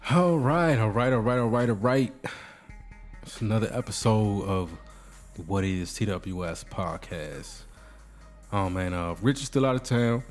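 A man's voice: on the low side, animated, unhurried, faint music behind. A man with a cold and a nasal voice hosting a casual podcast, joking and bantering. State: sick, nasal. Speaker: podcast host. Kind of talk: casual chat.

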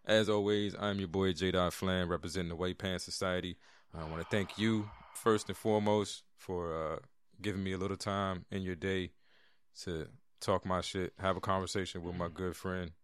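A man's voice: low voice, flat delivery, normal speed, smooth, dry recording, clean studio sound. A man hosting a casual podcast, laid-back and rambling, often restarting his sentences. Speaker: podcast host. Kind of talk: casual chat.

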